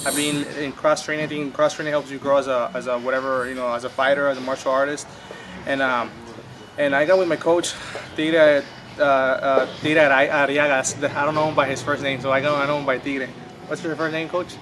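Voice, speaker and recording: A man's voice: mid-range voice, flat delivery, fairly quick, noisy background. Mexican-American man, rambling fighter interview. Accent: Mexican-American English. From US-Mexico border region. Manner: rambling, repeats words, many fillers. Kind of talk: documentary interview.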